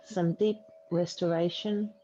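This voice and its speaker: A woman yoga teacher leading a guided relaxation meditation in a soothing, unhurried way with long pauses. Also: on the low side, steady tone, mellow. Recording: dull muffled audio, faint background noise.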